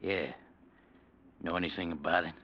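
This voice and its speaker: An actor playing a menacing, sardonic crime boss in an old-time radio crime drama, speaking in slangy veiled threats. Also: male voice, sits low, steady tone, moderate pace, lo-fi sound. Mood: sly menace.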